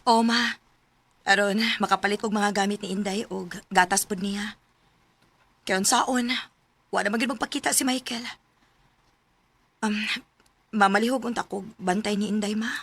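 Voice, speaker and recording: A woman's voice: medium pitch, fairly even intonation, moderate pace, pauses often, fairly bright tone. An actress playing an emotional, wronged young working mother with a Cebuano accent in a sentimental radio drama. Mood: earnest, hopeful.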